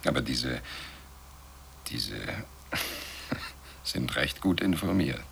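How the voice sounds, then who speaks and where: Deep, gravelly, dour skeptic. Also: male voice, lively intonation, fairly bright tone, a little hiss or hum, full character voice. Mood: skeptical, dry. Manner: dry, slow, pausing. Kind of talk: radio play acting.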